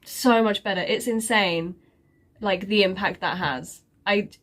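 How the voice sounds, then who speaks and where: Woman, vlogger, stuffy congested nose, unhurried delivery, dry self-mocking humour, loose rambling chat. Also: medium pitch, fairly bright tone. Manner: sarcastic, self-mocking, rambling with many fillers. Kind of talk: casual life-update chat.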